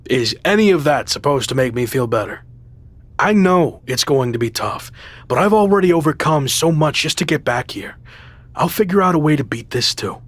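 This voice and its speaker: A man playing a stubborn underdog pro wrestler in a video game, speaking in a husky voice. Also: fairly high pitch, expressive, moderate pace, fairly bright tone. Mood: defensive, determined.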